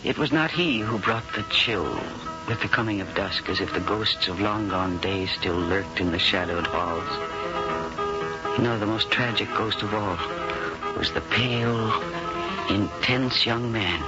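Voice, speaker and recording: An actress playing a curious, well-mannered woman guest in a 1970s radio drama, with an expressive, theatrical delivery. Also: a bass voice, a little slow. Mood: brooding narration. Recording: dull 1970s radio recording, loud music.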